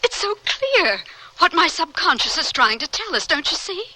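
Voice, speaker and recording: Bright-voiced, serene, devoted dying scientist. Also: female voice, very high voice, very animated, no room sound, full character voice, low-quality recording. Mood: fervent, visionary. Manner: theatrical, earnest, measured delivery. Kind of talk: old-time radio drama acting.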